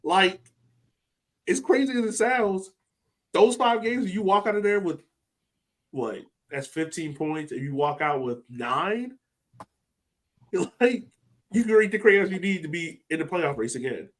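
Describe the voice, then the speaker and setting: A man's voice: high-pitched, animated, a little slow, long pauses. A man co-hosting a sports podcast, talking in a loose, rambling way with easy laughs. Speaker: podcast co-host. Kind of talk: casual chat.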